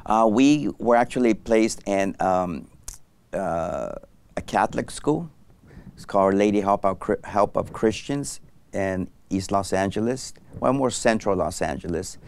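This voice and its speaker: A man's voice: fairly low pitch, animated, a little slow, vocal fry, a little hiss or hum. A middle-aged man from Mexico, a doctor guest in an interview, speaking thoughtfully with many fillers and restarts on a lapel mic in a studio set. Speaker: anesthesiologist guest. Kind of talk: interview conversation.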